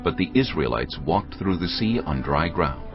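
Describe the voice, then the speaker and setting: A man narrating scripture in a measured, steady storytelling voice. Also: extremely low pitch. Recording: muffled, with music underneath.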